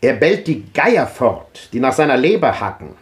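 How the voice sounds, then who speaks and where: Casting: actor as a fiery old thinker in a 1950s radio play, declamatory, with a pitch that swings widely. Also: male voice, big pitch swings, moderate pace, close to an everyday voice. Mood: declaiming. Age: older. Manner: declamatory, impassioned, rhetorical. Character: old man, fervent visionary philosopher. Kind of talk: 1950s radio drama acting.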